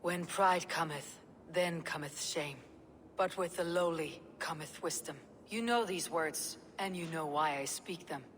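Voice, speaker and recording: A woman's voice: lowish voice, unhurried, slight background noise, lightly acted. An actress voicing a bold, irreverent pagan Viking warrior woman in a video game, speaking bluntly, dryly and defiantly. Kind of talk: dramatic video game acting.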